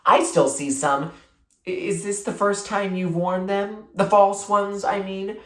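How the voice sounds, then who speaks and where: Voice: mid-range voice, fairly even intonation, unhurried, a bit bright, pitched above the performer's own voice, lightly acted. A reader voicing a shy, imaginative sixth-grade girl from a children's storybook, hesitant and earnest. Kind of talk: children's storybook character read aloud. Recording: roomy, echoing sound.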